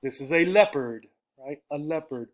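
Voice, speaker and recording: A man's voice: on the high side, normal speed. A man with an American accent explains vocabulary to English learners in a didactic way, repeating key words and often checking in as he goes. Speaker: English teacher.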